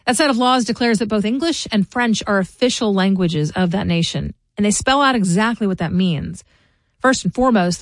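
A middle-aged woman hosts a local news podcast and reads scripted commentary in a measured, informative way. Her voice sits in a middle range. The recording is close and dry, with no room sound. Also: mid-range voice, no room sound. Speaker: podcast host.

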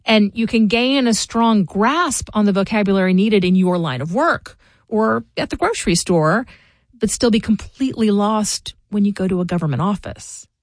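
A middle-aged woman hosting a local news podcast, reading scripted commentary in a measured, informative way. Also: mid-range voice, expressive, dry recording, studio quality. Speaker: podcast host.

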